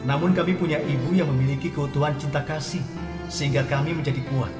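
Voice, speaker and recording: A man's voice: a little high, steady tone, quick pace, lightly acted. An actor playing Ken, an earnest, devoted grown son who narrates his own story in a heartfelt, solemn way in an audio drama. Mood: reflective. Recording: roomy echo with long reverb, distant, music behind.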